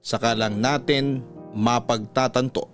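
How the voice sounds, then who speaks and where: A man with a Filipino accent narrating listener letters as a radio host, in a measured, emotive scripted style. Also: mid-range voice, steady tone. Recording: music bed under voice. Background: music.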